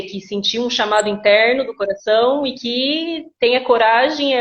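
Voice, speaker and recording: A woman with a Brazilian Portuguese accent hosting a live online interview, rambling with many restarts. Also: fairly high pitch. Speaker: host. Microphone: video call. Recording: dull lo-fi sound.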